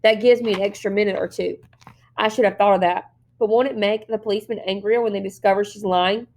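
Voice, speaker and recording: A woman's voice: medium pitch, steady tone, fast talker, a little mellow, natural voice. Storybook role: anxious teen girl read by a woman, resentful, tense, inclined to grumble. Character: frightened, resentful teenage girl, thinking and grumbling.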